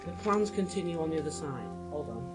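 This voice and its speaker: A man's voice: fairly high pitch, lively intonation, fast, natural voice. An actor playing an earnest, clever young male time-traveller in a sci-fi radio drama, speaking precisely. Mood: puzzled. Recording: music under the voice.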